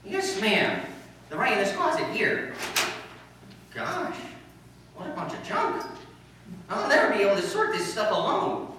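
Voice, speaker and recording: Man playing an animated schoolboy, echoey room. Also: high-pitched, measured pace, long pauses, heavily acted. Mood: plaintive. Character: eager, anxious male high school student. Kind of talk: old-time radio comedy acting. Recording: very echoey, distant, noisy room recording.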